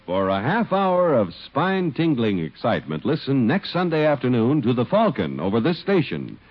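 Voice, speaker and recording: An American man announcing from a script in emphatic 1950s radio style, with a husky voice. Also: medium pitch, wildly swooping pitch, moderate pace, faint music behind. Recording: muffled 1950s radio recording.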